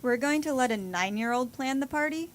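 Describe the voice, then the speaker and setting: A woman's voice: high voice, expressive, a little fast. A woman playing a sardonic hippie goth with macabre tastes in an amateur audio play, dry and playfully sarcastic. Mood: dryly teasing.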